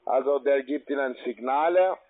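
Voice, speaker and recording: A man's voice: mid-range voice, moderate pace. Foreign-accented salesman on a tinny phone line. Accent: foreign accent in German. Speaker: account manager, telephone salesman.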